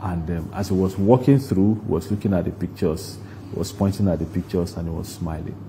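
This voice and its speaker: Nigerian guy, mellow voice, interview guest telling a story, repeats stuff for emphasis, bit of noise behind. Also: low-pitched, brisk, low-quality recording. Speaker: interview guest, stage play producer. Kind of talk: broadcast interview, recounting.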